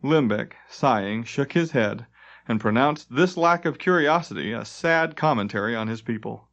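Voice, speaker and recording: A man's voice: mid-range voice, animated, normal speed, a bit bright. A man reading scripted fantasy narration dramatically, as an audiobook narrator. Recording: dull lo-fi tape transfer.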